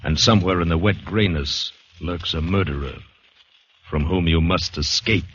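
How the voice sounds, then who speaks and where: An actor playing an ominous announcer who sets a scene of dread in grave, portentous 1940s radio style. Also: male voice, deep voice, normal speed, a bit bright, a little hiss or hum. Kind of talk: 1940s radio drama narration. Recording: muffled vintage radio with music behind.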